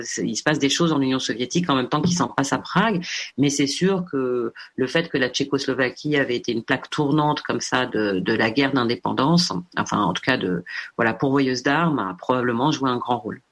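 A woman's voice: rumbling low, breathy edge. Woman filmmaker in interview, hesitant, restarts, fillers. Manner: halting, many fillers and restarts. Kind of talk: radio interview answer.

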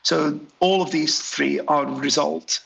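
A man talks through his design work in an interview. His voice is gravelly and husky and sits a touch high. He hesitates often, filling gaps with ums and restarting phrases. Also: steady tone, unhurried, a bit bright, rough recording. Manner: hesitant, many fillers and restarts. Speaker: graphic designer. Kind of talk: radio interview answers.

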